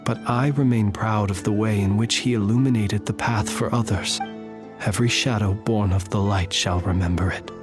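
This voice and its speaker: A man playing a calm, wry, courtly lantern keeper in a video game, speaking in a measured, formal, solemn way. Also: on the low side, lightly acted. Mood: solemn, reverent. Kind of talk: scripted game drama, eulogy-like. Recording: music behind the voice.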